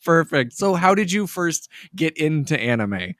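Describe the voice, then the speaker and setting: A fairly high-pitched man hosts a relaxed podcast interview. He is upbeat, laughs easily and keeps the guest going with short affirmations. His voice sounds close and dry. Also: unhurried, dry recording. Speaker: podcast host. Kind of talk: casual interview chat.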